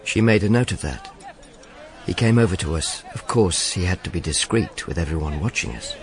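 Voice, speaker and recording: A man's voice: sits low, animated, moderate pace. An actor playing a wry Roman informer, narrating his misadventures in a dry, sardonic way for a radio drama. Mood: wry storytelling.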